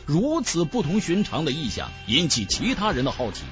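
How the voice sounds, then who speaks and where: Expressive male storyteller with sweeping pitch. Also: moderate pace. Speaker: audiobook narrator. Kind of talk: scripted fantasy novel narration. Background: background music.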